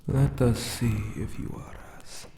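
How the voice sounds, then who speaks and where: A man voicing a lonely, ancient male jackal-god monster guarding a tomb, whispering breathily in a solemn, formal ASMR roleplay with deliberate pauses. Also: mid-range voice, steady tone, vocal fry, dark tone, a little hiss or hum. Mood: solemn, ominous.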